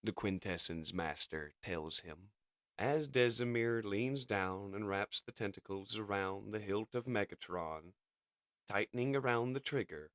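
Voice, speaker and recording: A man's voice: lowish voice, measured pace, fairly bright tone, no room sound. A man narrating and commenting on a comic as its reader and reviewer.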